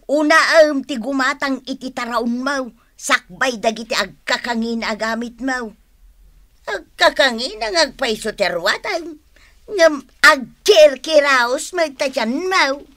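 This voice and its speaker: A bright, high-pitched Ilocano mother nags her grown son with exasperated reproach. She stretches her words for emphasis in radio drama style. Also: expressive. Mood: scolding. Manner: reproachful, nagging, drawn-out emphasis. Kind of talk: Philippine radio drama acting.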